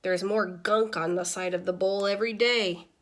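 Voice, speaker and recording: A woman voicing a small, easily exasperated pet goldfish narrating a diary in an expressive, deliberate children's picture-book read-aloud. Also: medium pitch, close to an everyday voice.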